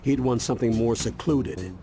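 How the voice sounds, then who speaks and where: A man's voice: medium pitch, normal speed, over music, lightly acted. A man playing a stern, protective older grandfather in a cartoon game, firm and earnest. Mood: focused commanding.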